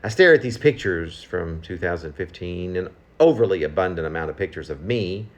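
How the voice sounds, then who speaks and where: A man's voice: deep voice, animated, moderate pace. Man reading with widely swinging intonation. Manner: reflective, self-questioning. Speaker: poet reading own work. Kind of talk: reading a confessional, conversational poem aloud.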